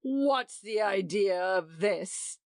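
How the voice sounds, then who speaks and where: A woman's voice: a little high, big pitch swings, a little slow, a bit bright, dry recording, studio quality, pitched above the performer's own voice, heavily acted. A woman voicing a young husband who speaks curtly and with scorn. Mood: scornful. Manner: curt and scornful. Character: contemptuous, protective young husband.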